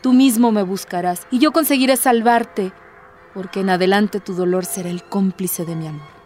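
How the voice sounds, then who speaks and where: A woman's voice: medium pitch, normal speed, darkish tone, faint music behind, lightly acted. An actress playing an impassioned, devout woman who pleads earnestly, with theatrical, declamatory delivery in a radio drama. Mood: earnest, tender pleading.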